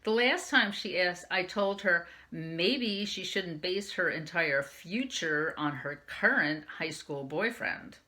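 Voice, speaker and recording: Slow, bright-voiced woman reading aloud. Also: lively intonation. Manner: first-person reading with raised pitch for quoted dialogue. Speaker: youth services librarian. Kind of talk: reading a young adult novel aloud.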